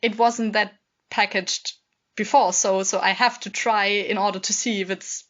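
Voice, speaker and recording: A woman's voice: medium pitch, steady tone, moderate pace, fairly bright tone, lightly acted. A woman improvising a role-play as a stubborn, blunt customer who insistently repeats her demands. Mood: firm, insistent. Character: stubborn, blunt female customer returning a tent. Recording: dull lo-fi sound.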